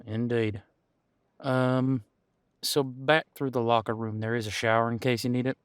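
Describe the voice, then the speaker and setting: Smooth, easygoing veteran cop, acted by a man. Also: mid-range voice, fairly even intonation, no echo, studio quality, close to an everyday voice. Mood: friendly, briefing. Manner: casual, candid, chatty, with thoughtful pauses. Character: easygoing veteran male supernatural police officer showing newcomer around. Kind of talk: audio roleplay acting, one-sided conversation.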